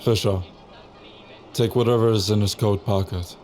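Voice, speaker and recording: An actor playing a suspicious East military captain, deliberate and authoritative in an audio drama. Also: male voice, on the low side, steady tone, a little mellow, lightly acted. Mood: stern, suspicious. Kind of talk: cinematic audio drama acting. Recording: faint vehicle noise behind.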